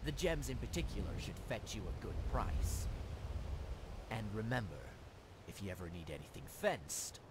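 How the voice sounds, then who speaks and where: A man's voice: fairly low pitch, very animated, moderate pace, heavy background noise. A man playing a courteous, shrewd dealer in stolen goods in a video game. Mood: smooth, businesslike. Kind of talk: video game character dialogue.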